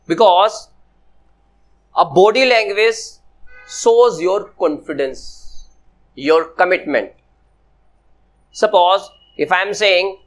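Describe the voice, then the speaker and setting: 一位语速缓慢的男老师，讲课时反复问“明白吗”. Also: male voice, a little high. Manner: slow, repetitive, often asks "Clear?". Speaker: personality development teacher. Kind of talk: classroom lecture to students.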